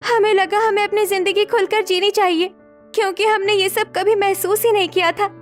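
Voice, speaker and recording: Woman as anxious young daughter-in-law, cartoon story, high-pitched, pleading, background music. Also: fairly even intonation, normal speed. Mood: remorseful, explaining. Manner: pleading, earnest.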